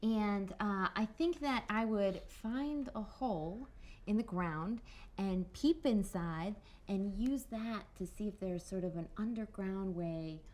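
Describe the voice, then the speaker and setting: A woman's voice: medium pitch, slow, a little mellow. A woman playing a tabletop roleplaying game, chatting casually and playfully, partly in character.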